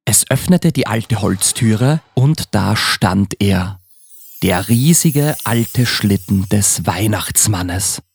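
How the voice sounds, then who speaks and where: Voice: mid-range voice, animated, slow, creaky, a little mellow, breathy edge, no echo. A narrator reading scripted storybook narration for a Christmas radio play.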